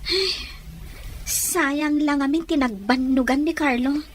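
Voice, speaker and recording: An earnest, loyal young woman in love, as an actress plays her in a radio drama. Her voice is distinctly high, with sincere dramatic delivery. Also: takes it slowly, a little hiss or hum. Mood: dismayed. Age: young adult. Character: sincere, loyal young sweetheart, upset but trusting.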